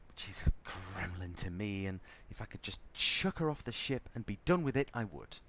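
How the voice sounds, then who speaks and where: A man's voice: on the low side, animated, normal speed, slight background noise. A man playing a sardonic, grudgingly fond spaceship crewman in an audio drama, rambling as he goes. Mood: scornful, sardonic. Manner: sardonic, rambling, softening into fondness. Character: snarky male spaceship crewman, grudgingly fond, talking to baby.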